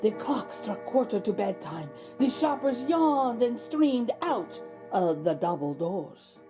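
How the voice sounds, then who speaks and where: Slow, expressive woman reading a storybook. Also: fairly high pitch, over music. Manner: theatrical, suspenseful emphasis. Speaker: storybook reader, host. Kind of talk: expressive children's read-aloud narration.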